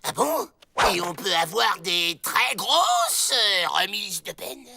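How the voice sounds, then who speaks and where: Creaky, irritable cartoon outlaw. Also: male voice, high voice, takes it slowly, exaggerated voice. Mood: sly, grumbling. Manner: barking, irritable, excitable. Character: short-tempered, bossy, scheming male cartoon outlaw convict. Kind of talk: animated cartoon acting. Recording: loud music behind the voice.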